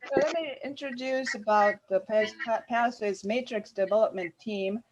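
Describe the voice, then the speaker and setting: A woman presenting a workshop over a video call, halting and using many fillers. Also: on the high side, lo-fi sound, background noise. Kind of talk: online meeting presentation.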